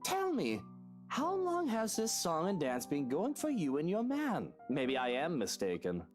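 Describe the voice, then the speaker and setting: An actor voicing a scornful, sardonic married man in a visual novel, with a British accent and a low, rumbling, mocking delivery. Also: wildly swooping pitch, unhurried. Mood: condescending. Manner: sarcastic, mocking, theatrical. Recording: game music behind the voice.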